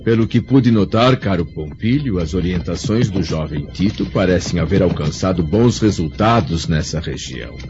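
A man's voice: deep voice, animated, moderate pace, few pauses. A man acting as a dignified, dutiful Roman senator with a conscience, formal and theatrical, in a radio drama. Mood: resolute, courteous. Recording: muffled recording with music behind.

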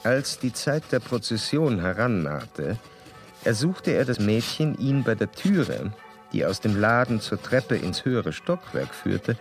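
An actor playing a measured, probing middle-aged psychoanalyst in old Vienna, with formal, deliberate case-report delivery in a radio drama. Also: male voice, mid-range voice, very animated, faint music behind. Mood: clinical.

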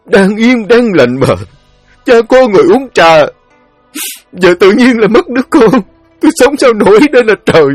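A man's voice: high voice, lively intonation, normal speed, no echo, soft background music, voice pushed up. A man playing an old father who wails in anguish and rage over his murdered son. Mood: wailing. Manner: wailing, anguished, raging.

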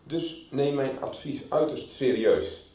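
An actor playing a stern, threatening school superior in a radio drama, speaking formally and with measured authority. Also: male voice, mid-range voice, warm tone, lightly acted. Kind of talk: 1990s radio drama acting. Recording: dull, roomy, distant radio recording.

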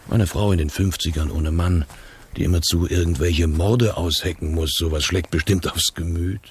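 A man playing a put-upon secretary in a radio play, husky, hesitant and guarded, with bitterness under his politeness. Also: rumbling low, slight background noise. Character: resentful, put-upon male secretary to a novelist.

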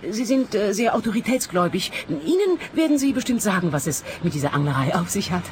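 A woman's voice: medium pitch, big pitch swings, fast talker, close to an everyday voice. An actress playing a nervous woman client in a radio play, who stammers and repeats her words. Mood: flustered.

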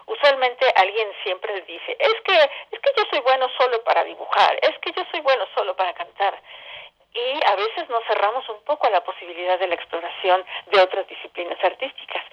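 Mexican woman with dull, lo-fi sound. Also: fairly high pitch. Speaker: interview guest, theatre director. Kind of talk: conversational interview answers. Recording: dull phone-like band-limited sound.

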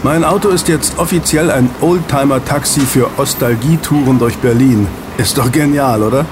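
A man's voice: medium pitch, normal speed, lightly acted. Man playing a chatty snack bar boss, street noise. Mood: proud, chatty. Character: friendly ex-agent snack bar boss, proud and coaxing. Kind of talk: German audio drama dialogue. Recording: clear street and traffic ambience. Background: outdoor city street with vehicles.

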